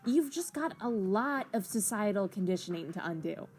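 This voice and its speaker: A woman voicing a teasing cat-like sprite in an audio comic drama, drawling slowly as she patiently persuades. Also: mid-range voice, animated, darkish tone, faint music behind, close to an everyday voice. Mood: earnest, coaxing. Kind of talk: hobby voiced comic drama.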